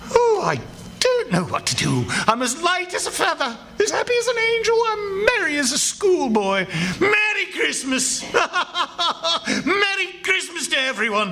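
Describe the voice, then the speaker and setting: A man acting an excitable, theatrical old miser in a live radio play, exclaiming and repeating his phrases. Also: extremely high pitch, vocal fry, full character voice. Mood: giddy, joyful. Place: stage before a live audience.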